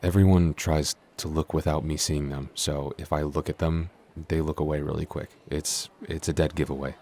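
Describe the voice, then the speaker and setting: A man's voice: extremely low pitch, little pitch movement, moderate pace, a little mellow. A man playing a shy, clumsy giant in a roleplay audio, stammering, hesitant and constantly apologetic. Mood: resigned, shy. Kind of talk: intimate roleplay audio acting.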